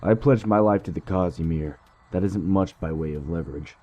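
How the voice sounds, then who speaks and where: A man's voice: sits low, moderate pace, muffled recording. A man playing a defiant, cornered loyalist who taunts bitterly in a dramatic audio drama. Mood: grudging.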